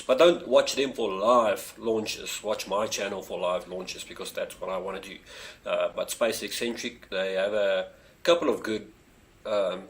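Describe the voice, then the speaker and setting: A man from South Africa with a South African accent, whose first language is Afrikaans, chatting casually on his own live stream with lots of fillers and eager appeals to viewers. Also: low-pitched. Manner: rambling, many fillers, eager pleas to viewers. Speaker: space channel streamer. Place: home near a street.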